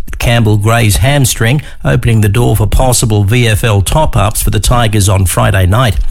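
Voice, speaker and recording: A man with an Australian accent reading a scripted radio news bulletin in a measured, formal way. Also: on the low side, vocal fry. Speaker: newsreader.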